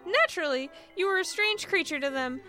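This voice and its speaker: A woman's voice: extremely high pitch, expressive, a little fast, loud music behind the voice, voice pushed up. A woman voicing a fussy, anxious male alien who pities his friend effusively in a story reading. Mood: anxious pity. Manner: effusive, solicitous, overdramatic.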